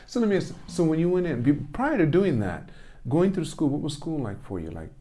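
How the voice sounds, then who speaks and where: A man's voice: on the high side, big pitch swings, fairly quick, dark tone. A man hosting a casual interview, putting short questions and often chiming in to affirm what he hears. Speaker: podcast host, interviewer.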